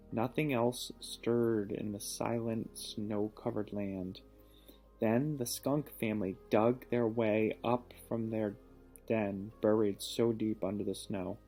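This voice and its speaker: A man reads a children's story aloud at an unhurried pace in a soft-edged, mellow voice. He is patient and encouraging, stopping to explain or ask a question. Music plays behind him. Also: lowish voice, low-quality recording. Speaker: father reading a picture book aloud to a child. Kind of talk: storybook read-aloud with teaching asides.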